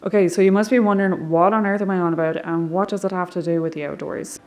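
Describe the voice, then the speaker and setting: A young Irish woman talking to camera outdoors in the countryside, chatty and easygoing. Her voice sits a little low, and she slips in plenty of "like" fillers as she tells old stories to her viewers. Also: steady tone, fast talker, darkish tone. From Ireland. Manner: chatty, storytelling, lots of "like" fillers. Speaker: vlogger. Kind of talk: casual outdoor travel vlog.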